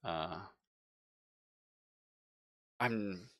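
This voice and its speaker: A man runs a tabletop roleplaying game in a low, bright-edged voice. He takes his time, improvising the story and pausing often to think aloud. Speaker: game master.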